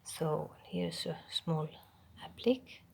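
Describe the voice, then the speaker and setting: A Finnish woman with a Finnish accent, whose first language is Finnish, quietly showing a handmade journal page by page, half-whispering with pauses and ums. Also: sits low, vocal fry, slight background noise. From Finland. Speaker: craft channel host. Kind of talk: soft show-and-tell of a handmade journal.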